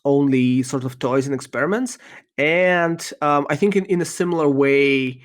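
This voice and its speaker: A man whose first language is Russian, answering interview questions in a thoughtful, halting way with many ums and restarts. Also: on the high side. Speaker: podcast guest, software engineer.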